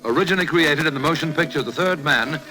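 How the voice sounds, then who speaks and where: A man's voice: on the high side, quick pace, rough recording. A man announcing a vintage radio show in a formal, declamatory style. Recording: loud music under the voice. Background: loud zither music.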